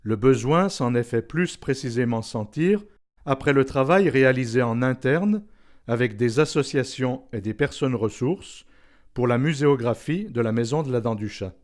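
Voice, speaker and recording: A man's voice: medium pitch, animated, normal speed, darkish tone. Male documentary narrator, mid-range voice, formal scripted read for an institutional film.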